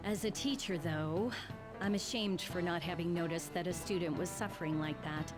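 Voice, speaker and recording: A woman's voice: fairly low pitch, moderate pace, lightly acted. A woman playing a downbeat school teacher in a video game, casual and matter-of-fact. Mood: resigned. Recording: music behind the voice.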